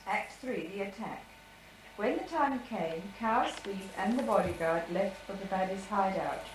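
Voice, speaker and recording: A woman's voice: mid-range voice, lively intonation, moderate pace. Young female narrator, echoey noisy home video. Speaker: narrator of a home puppet show. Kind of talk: amateur storybook narration.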